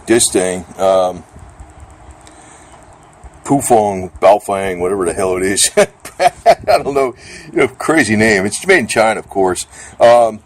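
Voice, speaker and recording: A middle-aged or older man giving a casual, off-the-cuff gear demonstration in a rambling, plainspoken, colloquial and mildly grumbling way. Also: medium pitch. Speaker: vlogger demonstrating radio gear.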